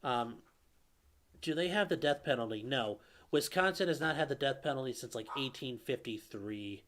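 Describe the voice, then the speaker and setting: A man's voice: mid-range voice, lively intonation, moderate pace, fairly bright tone. Casual man answering live chat. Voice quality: calls his own voice nasally; a viewer calls it velvety. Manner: conversational, answers viewer questions with fillers and restarts. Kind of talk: casual live-stream commentary.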